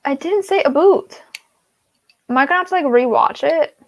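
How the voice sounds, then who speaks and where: A woman's voice: sits high, animated, normal speed, creaky, no echo, muffled recording. A woman hosting a casual writing livestream over a video call, talking in a chatty, playful and sometimes defensive way. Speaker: host.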